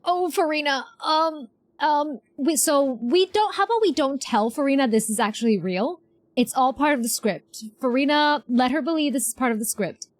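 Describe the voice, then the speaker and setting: Lively, higher-voiced woman streamer reacting. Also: moderate pace. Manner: playful, reactive, repeats short phrases. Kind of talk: casual live game reaction commentary. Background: game music.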